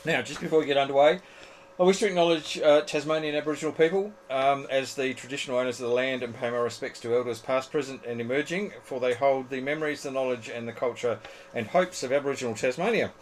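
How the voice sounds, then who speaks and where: A man's voice: mid-range voice, fast talker, slight background noise, poor audio. A man with an Australian accent hosting an amateur radio club show in casual studio chat.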